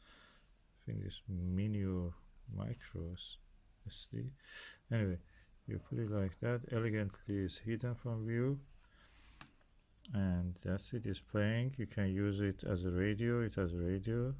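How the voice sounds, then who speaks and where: A man's voice: lowish voice, steady tone, measured pace, creaky, dark tone. A man casually demonstrating a product in an unscripted way, sometimes trailing off mid-thought. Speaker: product reviewer.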